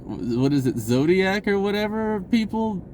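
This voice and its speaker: A man telling a personal story in a casual, rambling vlog style, stammering, blurting and re-enacting conversations excitably. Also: high voice, unhurried, a little hiss or hum. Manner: blurting, self-interrupting, stammering over phrases.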